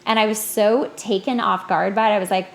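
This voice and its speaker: A woman's voice: medium pitch, fairly even intonation, a little fast, gravelly. A woman in her thirties hosting a podcast, chatting casually in a blunt, informal way. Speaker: podcast host. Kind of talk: casual chat.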